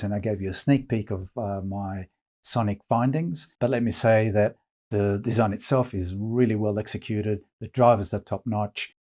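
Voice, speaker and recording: A man presenting an informal product review as a reviewer, speaking in a measured way with hesitant pauses between phrases. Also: lowish voice, dark tone. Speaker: audio magazine editor and reviewer, presenter.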